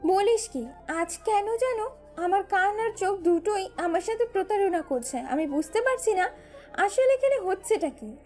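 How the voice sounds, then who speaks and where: A very high, thin-pitched young woman over light music, put on by a female storyteller. She is a fretful, doubting big sister in a romance audio drama. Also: lively intonation, a little slow, darkish tone. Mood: disbelieving. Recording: music bed behind voice.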